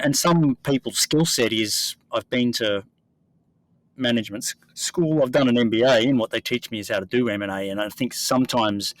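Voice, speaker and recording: A man from Australia, hosting an investing podcast and explaining in a measured conversational way. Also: lowish voice, poor audio. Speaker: podcast host.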